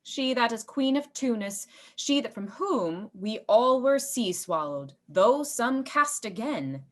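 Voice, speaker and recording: A woman playing a scheming, ambitious male lord in a Shakespearean radio play, insinuating and deliberate as a persuasive tempter. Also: on the high side, animated. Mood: insinuating, coaxing.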